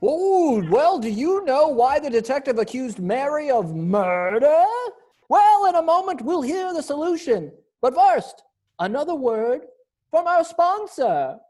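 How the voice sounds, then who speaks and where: A woman's voice: high-pitched, sing-song, unhurried, music in the background. A woman narrating an amateur mystery play in a playful, showy radio announcer style. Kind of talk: old radio mystery show narration, amateur readers theater.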